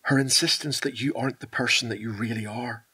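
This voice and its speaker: A man playing a calm, measured hospital doctor in a radio drama, professional, reassuring and deliberate. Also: medium pitch, slightly rough. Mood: composed, serious.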